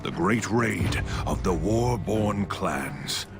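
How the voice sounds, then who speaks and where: An actor playing a grim, triumphant raider warrior, narrating an epic game cutscene portentously with dramatic pauses. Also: male voice, deep voice, lively intonation, full character voice. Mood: proud. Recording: loud music under the voice.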